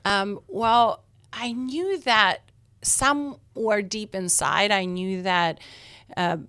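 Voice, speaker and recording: A woman's voice: medium pitch, slow, sharp tone, smooth, no echo, clean studio sound. A middle-aged woman from Albania with an Albanian accent, a podcast guest talking earnestly with frequent ums and restarts. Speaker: guest, escrow company owner. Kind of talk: interview conversation.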